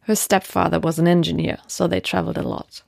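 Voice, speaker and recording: Steady woman narrating a report. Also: lowish voice, a little fast, no echo, studio quality. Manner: clear, steady, explanatory. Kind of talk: scripted documentary narration.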